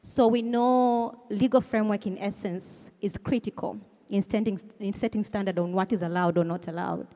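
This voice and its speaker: Woman, lawyer, panelist, mellow, quick, echoing hall. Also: mid-range voice, fairly even intonation. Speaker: lawyer, executive director. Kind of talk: speaking at a panel. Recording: reverberant hall.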